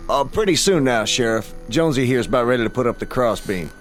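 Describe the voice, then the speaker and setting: An actor playing a dutiful, weary small-town deputy in a western radio drama, plain-spoken and folksy. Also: male voice, mid-range voice, lively intonation, fast, soft background music, lightly acted. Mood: deferential.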